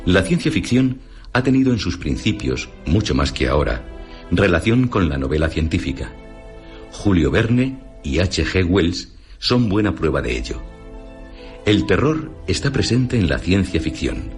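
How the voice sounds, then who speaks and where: A man with a Castilian Spanish accent narrating a scripted radio essay in a formal, didactic, deliberate manner. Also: low-pitched, big pitch swings, soft background music.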